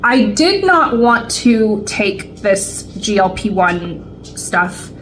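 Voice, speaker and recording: A woman's voice: mid-range voice, very animated, very slow, a bit bright, slight background noise, poor audio. A woman in her late forties co-hosting a vlog, chatting casually with lots of fillers and restarts. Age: 40s. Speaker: vlog co-host. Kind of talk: casual chat to camera.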